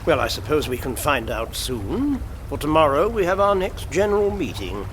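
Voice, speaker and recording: Prim, higher-pitched male secretary played by an actor. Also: very animated, normal speed, background noise. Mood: prim, disapproving. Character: prim, diplomatic male secretary, cautious and formal. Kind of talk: radio drama acting.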